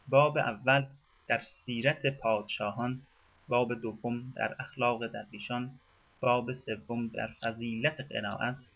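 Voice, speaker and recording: A man reading a scripted documentary narration for a radio program in a measured, formal manner. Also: mid-range voice, gravelly, dull audio.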